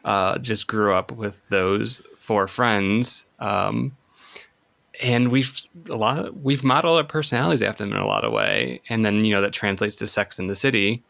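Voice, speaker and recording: Low, gravelly male podcast guest. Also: expressive, fairly bright tone. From suburbs of Chicago. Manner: thoughtful, measured. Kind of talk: casual chat.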